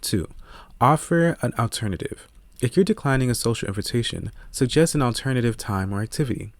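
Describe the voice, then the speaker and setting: A man reading scripted audiobook narration in a measured, earnest way, close to the microphone. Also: mid-range voice, lively intonation, dry recording.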